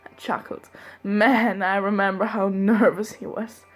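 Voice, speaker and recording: A woman's voice: mid-range voice, little pitch movement, unhurried. A young woman reading fanfiction aloud in the first person, sometimes giggling and breaking off to joke, with faint music behind her. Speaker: fanfiction reader.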